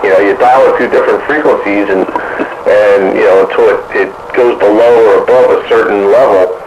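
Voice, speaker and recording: A man chatting casually about technical matters as a radio hobbyist, halting as he thinks aloud. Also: fairly low pitch. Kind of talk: casual technical chat over two-way radio. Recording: muffled, noisy two-way radio transmission.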